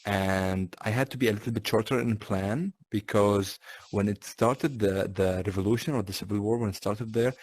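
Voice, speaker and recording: Young man, low voice. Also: moderate pace. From Syria. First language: Arabic. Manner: earnest, leans on "you know" and "like". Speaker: guest. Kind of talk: casual conversation.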